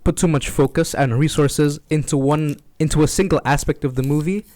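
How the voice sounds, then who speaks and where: A young man as a podcast guest, chatting casually in a measured, deadpan way. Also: fairly high pitch, dry recording, studio quality.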